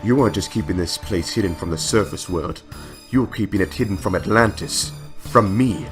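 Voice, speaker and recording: A man's voice: low-pitched, a little fast, soft background music. A man voicing a stern, proud male undersea ruler in a comic-book reading. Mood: accusing.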